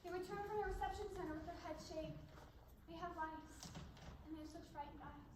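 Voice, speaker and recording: Woman as distressed little girl, piercingly high, echoey distant stage recording. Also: steady tone, a little fast, noisy background. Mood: horrified. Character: distressed young girl witnessing starving children. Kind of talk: stage monologue.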